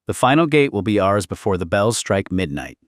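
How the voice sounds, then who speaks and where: A man's voice: fairly low pitch, very animated, fairly quick, no room sound, clean studio sound. A man voicing a commanding, anxious queen and mother in an audiobook, with an imperious, grave manner. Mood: somber, resolute. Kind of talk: audiobook character dialogue.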